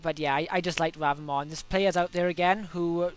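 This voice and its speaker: A young man with a Welsh accent and a fairly high, smooth, clear voice talks through his racing laps. He has a cold coming on. He chats loosely, with frequent ums and quick self-corrections. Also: steady tone. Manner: chatty, self-correcting, many fillers. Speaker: gaming video host. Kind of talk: casual gameplay commentary.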